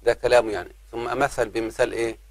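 A man's voice: mid-range voice, fairly even intonation, dry recording. Man teaching Arabic grammar. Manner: patient, addresses students as "my children", slows to stress examples. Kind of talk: grammar lesson explaining verse.

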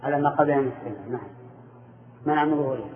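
A man's voice: mid-range voice, racing. A man teaching Arabic grammar, quizzing his students and confirming their answers with short replies. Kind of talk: classroom questioning of students. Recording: echoey room over a tinny phone-like line.

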